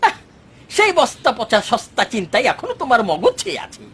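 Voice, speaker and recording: Casting: haughty rich man for a cartoon morality tale; bright, forceful, very high voice, melodramatic. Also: huge pitch swings, moderate pace, breathy edge, full character voice. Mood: boastful, mocking. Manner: theatrical, swinging from mocking to wailing. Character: arrogant, boastful rich man who owns two orchards. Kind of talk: animated moral story dialogue.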